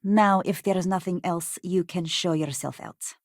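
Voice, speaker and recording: A woman's voice: lowish voice, moderate pace, lightly acted. An actress playing a brusque, weary female space station doctor in a video game, curt and sardonic. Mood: curt, irritated.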